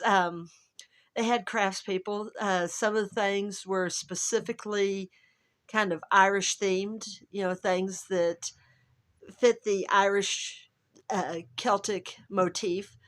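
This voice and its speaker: A woman with a hoarse, gravelly voice that is still recovering, chatting casually and rambling to camera at home as a travel vlogger. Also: medium pitch, steady tone, takes it slowly, a bit bright. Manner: rambling, chatty, self-interrupting. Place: at home, indoors.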